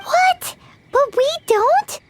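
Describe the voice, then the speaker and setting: A woman voicing a small, cheerful, childlike fairy companion in an anime game, with an eager, chirpy delivery and a squeaky voice. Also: lively intonation, very slow, heavy background noise, full character voice. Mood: surprised. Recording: music behind the voice.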